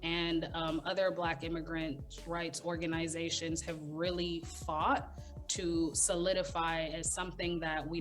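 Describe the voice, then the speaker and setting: A woman speaking as a panelist at a video roundtable, measured and a little hesitant, with fillers. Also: deep voice. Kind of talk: video call roundtable remarks.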